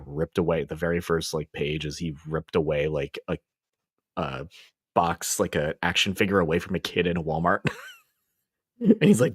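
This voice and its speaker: Low-voiced man chatting about comics. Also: no echo, studio quality. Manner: laid-back, rambling, joking. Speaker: comic book podcast host. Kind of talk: casual comic review chat.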